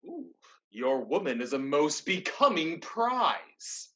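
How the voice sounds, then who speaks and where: A woman's voice: sits low, big pitch swings, slow pace, a bit bright, heavily acted. A woman playing an arrogant, scheming male pirate lord in a staged play reading, smug and theatrical. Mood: smug, menacing.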